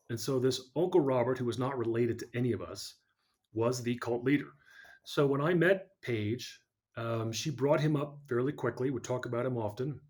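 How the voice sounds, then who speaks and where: Male guest, mid-range voice, earnest and measured as he recounts his story. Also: mid-range voice, darkish tone. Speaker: podcast guest. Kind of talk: personal story in an interview.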